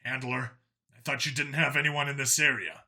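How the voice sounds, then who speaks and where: A man's voice: medium pitch, fairly even intonation, normal speed, sharp tone. A man voicing a hard, curt human vigilante cop in a science fiction audiobook. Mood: terse.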